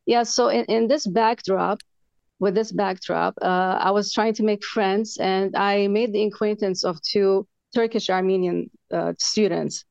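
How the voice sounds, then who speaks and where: A woman in her forties, from Lebanon, telling her personal story in an interview, earnest and halting, with many fillers. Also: mid-range voice, fairly even intonation, creaky, a little mellow, no room sound, muffled recording. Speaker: author guest.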